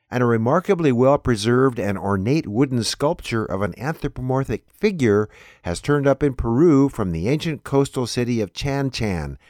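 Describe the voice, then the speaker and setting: Man announcer, lively pitch, dry studio sound. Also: no echo. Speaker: podcast host. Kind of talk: scripted announcement and appeal.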